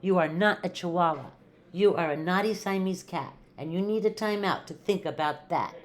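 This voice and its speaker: A woman's voice: deep voice, lively intonation, a little slow. A woman voicing a scolding mother cat in a storybook, firm but persuasive, with sing-song commands.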